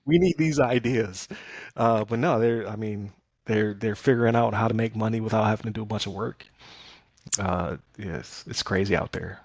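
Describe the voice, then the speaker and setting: A man's voice: on the low side, moderate pace, dry recording. A man hosting a casual podcast, talking things through loosely with plenty of fillers and restarts. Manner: conversational, many fillers and restarts. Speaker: podcast host.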